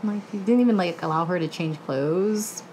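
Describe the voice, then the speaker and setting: A woman in her thirties in a casual book discussion, opinionated and slangy, with a halting flow full of filler. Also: fairly low pitch, darkish tone. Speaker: book club co-host. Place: living room couch, indoors. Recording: clear background room noise.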